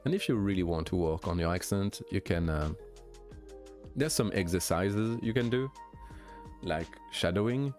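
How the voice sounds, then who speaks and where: A young man with a light French accent, a streamer chatting casually with viewers, relaxed and hesitant with many fillers and restarts. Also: low voice, normal speed, soft background music. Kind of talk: casual chat answering viewers.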